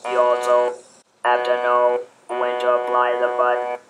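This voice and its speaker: A man speaking through an electrolarynx in a buzzing, robotic monotone, giving informal advice in halting, deliberate phrases. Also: medium pitch, loud music behind the voice, rough recording. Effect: electrolarynx vibration in place of natural voice. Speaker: electrolarynx user advising new users. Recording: loud steady background noise.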